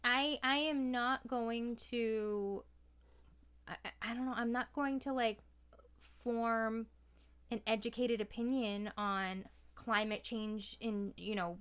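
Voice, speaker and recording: A woman in casual conversation, speaking candidly and haltingly, with a self-effacing manner. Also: on the high side, steady tone, fairly bright tone, clear, resonant tone.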